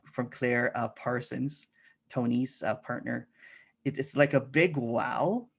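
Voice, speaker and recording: Gravelly-voiced man, halting reflective talk. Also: medium pitch. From Philippines. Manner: halting, many restarts and fillers. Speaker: interview guest. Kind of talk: reflective casual conversation.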